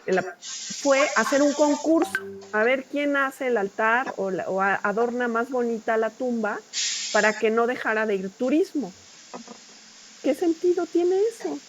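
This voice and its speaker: A woman's voice: a little high, a little slow. Middle-aged Mexican woman lecturer, noisy recording. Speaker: university lecturer. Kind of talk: informal online class lecture and discussion.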